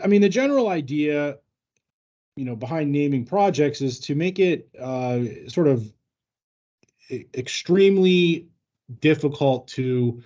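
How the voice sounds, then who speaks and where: Male company trainer, mellow tone, halting explanatory delivery, informal Q&A, dull lo-fi meeting sound. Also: mid-range voice. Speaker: company trainer answering staff questions. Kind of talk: informal work training discussion.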